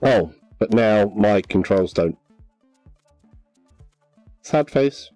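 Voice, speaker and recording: A man chatting casually on a VR game livestream, relaxed and hesitant, with many ums. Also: on the low side, very slow, no echo, soft background music. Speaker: livestream gamer.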